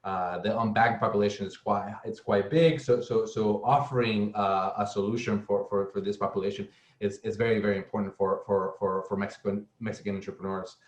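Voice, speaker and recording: A man's voice: fairly low pitch, steady tone, echoey room. A young man from El Salvador, speaking as a guest on a casual panel and often stumbling over repeated words. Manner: halting, many repeated words and fillers. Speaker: guest speaker, software engineer.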